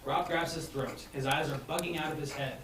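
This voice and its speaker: A man's voice: fairly high pitch, fairly even intonation, brisk. A man reading stage directions as narrator of a live comedy table read. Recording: echoey, noisy room, distant mic.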